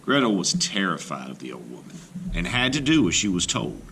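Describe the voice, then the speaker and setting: A man, a teacher, reading a folktale aloud for children with expressive narration. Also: low-pitched, fairly quick, creaky, very noisy. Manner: expressive, rising and falling with the tale. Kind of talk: storybook read-aloud narration.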